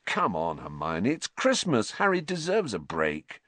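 A man's voice: fairly low pitch, huge pitch swings, measured pace, a bit bright, dry recording, exaggerated voice. Ron, a man doing an eager teenage boy. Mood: reassuring. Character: teenage boy wizard, cheeky and excitable.

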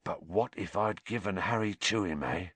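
A man's voice: deep voice, normal speed, fairly bright tone, husky, dry recording. A man voicing a big, fierce, loyal groundskeeper in a storybook reading. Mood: bitter, hurt. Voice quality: growling.

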